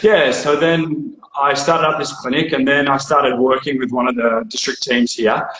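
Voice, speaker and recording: A man's voice: medium pitch, normal speed. Chatty Australian man in an echoey room. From born in India, raised in Australia. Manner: relaxed, chatty, lots of fillers and restarts. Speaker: physiotherapist guest. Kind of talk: casual interview over video call. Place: his physio clinic, echoey room.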